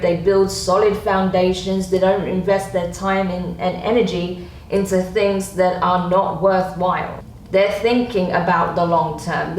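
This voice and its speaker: A woman talks through a tarot reading in a casual, reassuring way, tagging her points with "okay?" and "right?". Her voice sits a little low. The room is echoey, with a faint hiss behind her. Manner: chatty, reassuring, frequent "okay?" and "right?" tags. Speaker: tarot reader.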